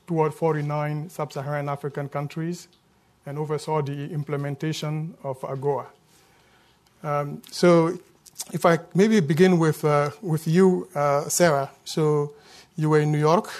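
A man's voice: on the high side, a little mellow. A man moderating a panel, introducing the guests with many hesitant fillers.

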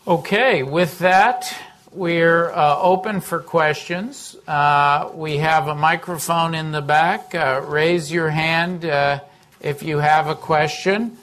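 A man moderating a conference question session, brisk and organizing as he directs the audience. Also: a little high, fairly even intonation, fairly bright tone. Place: conference hall before an audience.

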